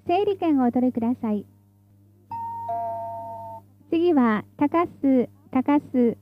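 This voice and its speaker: High-pitched woman making tram announcements, dull audio. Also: expressive, takes it slowly, faint music behind. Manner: formal, polite, carefully enunciated. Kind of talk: scripted transit announcement.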